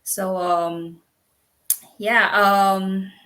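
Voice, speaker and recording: A woman in her thirties, a guest in a casual interview, talking very slowly. She stops and repeats words as she goes and often breaks into a laugh. Her pitch sits at an ordinary middle level. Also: little pitch movement, pushed and bright. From Philippines. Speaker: guest, business owner.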